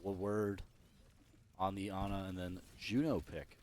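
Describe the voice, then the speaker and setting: Deep-voiced man casting, voice going hoarse. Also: flat delivery, a little slow, a little mellow, a little hiss or hum. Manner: rambling, self-correcting, chatty. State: losing his voice. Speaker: esports caster. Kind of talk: solo play-by-play commentary.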